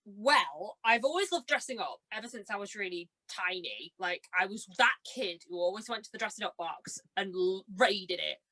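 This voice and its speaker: A woman's voice: medium pitch, expressive, moderate pace, few pauses, pushed and bright. A young woman in her twenties, a podcast guest, chatting casually and enthusiastically, telling anecdotes and acting out what people said. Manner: bubbly, giggly, enthusiastic storytelling with acted-out quotes. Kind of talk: casual chat, animated anecdotes.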